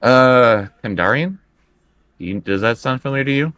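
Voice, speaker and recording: A man's voice: medium pitch, lively intonation, normal speed, a bit bright, smooth, no echo. A man playing a tabletop roleplaying game, speaking conversationally and hesitantly, often repeating words. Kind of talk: improvised in-character tabletop play, conversational.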